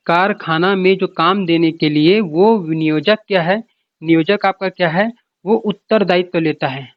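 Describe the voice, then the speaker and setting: A man's voice: high-pitched, no room sound. A man giving an informal explanatory lecture as an exam coach, repeating points and asking then answering his own questions. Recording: dull lo-fi sound.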